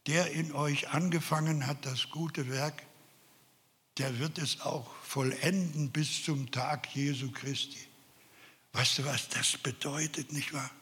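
Older male preacher, slow and earnest, slightly high voice, reverberant hall. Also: steady tone, a bit bright. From Carpathian Ukraine. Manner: earnest, emphatic, addresses listeners directly. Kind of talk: sermon.

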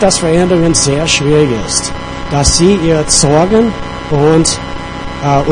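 A man preaching to his congregation while fighting a cold, earnest and conversational, pausing now and then. His voice sits a little high for a man. Music plays clearly behind him. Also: low-quality recording. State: has a cold. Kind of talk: sermon. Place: church.

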